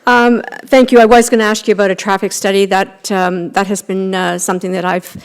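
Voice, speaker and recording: A woman's voice: mid-range voice, little pitch movement, fairly quick, smooth. A middle-aged woman with a Canadian accent, a town councillor asking questions at a council meeting, with many fillers and restarts, speaking into a desk microphone in a council chamber.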